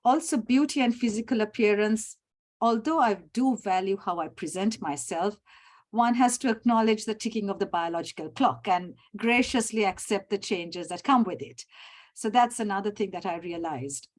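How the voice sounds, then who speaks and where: Sri lady in her middle years giving a formal talk on a video call, calm and measured, mid voice. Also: medium pitch. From Sri Lanka. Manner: measured, formal, clears throat often. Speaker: summit presenter. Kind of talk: prepared formal presentation.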